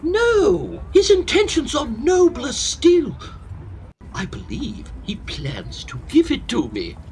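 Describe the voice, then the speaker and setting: A man's voice: extremely high pitch, wildly swooping pitch, slow, darkish tone, slight background noise, exaggerated voice. A man acting a fussy, self-pitying older hypochondriac professor in a comic period farce, lamenting in florid theatrical style. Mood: proud, eager.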